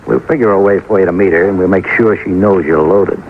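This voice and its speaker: A man's voice: low voice, a little fast. An American man playing a shrewd, persistent police sergeant in an old-time radio detective drama, speaking in a terse, businesslike way. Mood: scheming, persuasive. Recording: tinny, noisy old radio recording.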